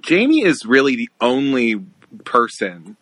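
Dude on a film podcast, bright punchy voice, casual and a bit sweary. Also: male voice, medium pitch, dry recording. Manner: animated, quick laughs, casual swearing.

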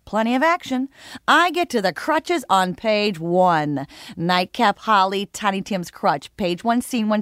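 A woman's voice: fairly high pitch, fairly bright tone, dry recording, clean studio sound. A woman performing a brash, boastful, combative theatre adapter in a comic monologue, cocky and punchy.

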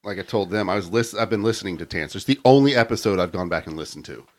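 A man's voice: low-pitched, quick pace. A man hosting a podcast, reading a scripted story and then chatting and teasing his co-hosts. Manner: laughs easily, teasing. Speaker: podcast host.

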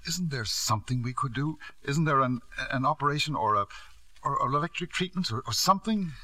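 A man's voice: medium pitch, expressive, brisk, sharp tone, dry recording. Driven, devoted father in vintage radio drama. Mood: anxious. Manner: emphatic, repeats himself, falters when anxious. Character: devoted, driven father of a sick son, narrating his past. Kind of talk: 1950s radio drama acting. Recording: vintage radio broadcast with music.

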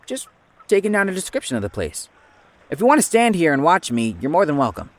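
An actor playing a sly, sardonic amateur investigator who feigns innocence in an audio drama. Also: male voice, on the high side, huge pitch swings, fairly quick. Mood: scheming. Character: sly, cheeky male amateur investigator needling authority.

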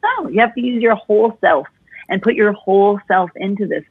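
Expressive woman vocal coach, husky voice. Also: mid-range voice, a little fast, dry recording. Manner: expressive, talks with her hands. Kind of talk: animated explaining with vocal demonstrations. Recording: tinny phone-like dry sound.

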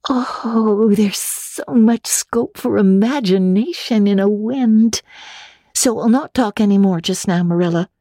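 A woman's voice: mid-range voice, a little slow, dark tone, dry recording, studio quality, pitched above the performer's own voice. A woman voicing an imaginative, talkative young girl in a storybook reading, earnest and dramatic, with gushing chatter. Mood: dreamy, excited.

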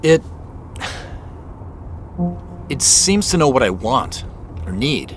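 Audio drama: troubled, guarded man played by an actor, earnest and reflective, very mobile intonation, music clearly underneath. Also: medium pitch, sing-song, slow pace. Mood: uneasy. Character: troubled, guarded man confiding in his sister and narrating. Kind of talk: audio drama acting and first-person narration.

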